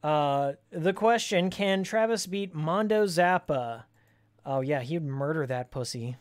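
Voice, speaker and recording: Raspy game streamer, casual and opinionated. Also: mid-range voice, slow pace. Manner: opinionated, joking, slangy. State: voice worn from screaming and ranting. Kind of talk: casual rambling gameplay commentary with fan trivia.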